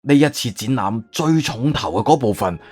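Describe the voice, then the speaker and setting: 一個男人用粵語有聲小說嘅演法，扮演一個慌張、囉嗦、急於安撫人嘅博物館館長，講嘢猶豫多口頭禪。. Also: male voice, medium pitch, lively intonation, moderate pace, a little mellow, breathy edge. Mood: wordy, explaining. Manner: hesitant, filler-laden, long-winded. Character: flustered, wordy museum director, eager to placate. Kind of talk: Cantonese audiobook character acting.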